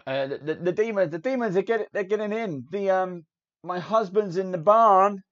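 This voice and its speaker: A man's voice: high voice, normal speed, fairly bright tone, voice pushed up. A man voicing a panicked woman villager who frantically pleads for help, in dull lo-fi audio with faint hiss.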